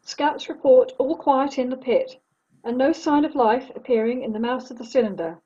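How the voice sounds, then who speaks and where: Woman acting a husky, mellow radio news announcer. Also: sits high, dull audio. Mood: grave, formal. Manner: formal, measured delivery. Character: composed female radio news announcer relaying grave bulletins. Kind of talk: scripted radio drama news bulletin.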